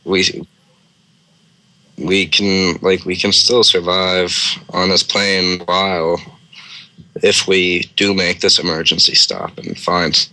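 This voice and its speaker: A man's voice: low voice, pushed and bright, no room sound, poor audio. A man co-hosting a storytelling series, casually improvising a story in a conversational way.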